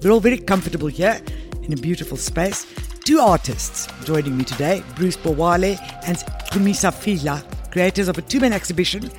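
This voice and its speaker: A woman's voice: on the low side, lively intonation, fairly quick, faint music behind. A woman hosting a podcast, interviewing guests in a conversational style. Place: gallery space.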